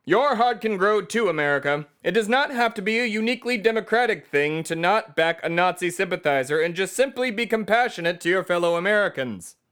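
A man's voice: high voice, lively intonation, brisk, few pauses, fairly bright tone, smooth. A man playing America itself as a bombastic, self-important patriotic orator on a satirical comedy podcast. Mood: impassioned speechifying. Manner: pompous, declamatory oratory.

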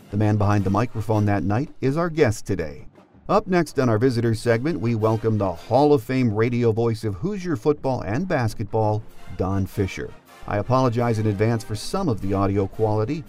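A man's voice: on the low side, a little mellow. An American man hosting a sports podcast, moving between scripted introductions and interview questions. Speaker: podcast host.

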